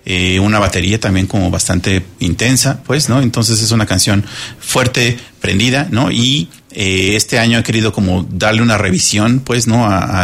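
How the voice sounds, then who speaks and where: A musician guest on a radio interview, a man with a low, gravelly voice and a Mexican accent. He speaks relaxed and unhurried, dropping in fillers and tag questions.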